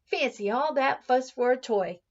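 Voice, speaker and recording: A woman's voice: high voice, lively intonation, a little slow, dull audio. A woman voicing a fussy, grumbling nanny in a children's storybook, with an exclamatory, scolding manner.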